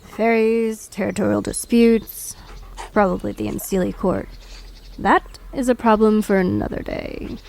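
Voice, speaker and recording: A woman's voice: medium pitch, lively intonation, measured pace, creaky, a little mellow. Woman playing a curt, haughty demigod. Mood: curt, superior. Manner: curt, dry, impatient. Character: brusque, haughty woman related to gods. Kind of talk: audio drama character acting.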